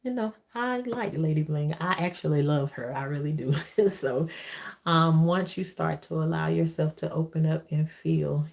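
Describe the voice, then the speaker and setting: A woman with a deep voice chatting casually as a livestream host, testifying and repeating phrases for emphasis. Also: normal speed, gravelly, darkish tone.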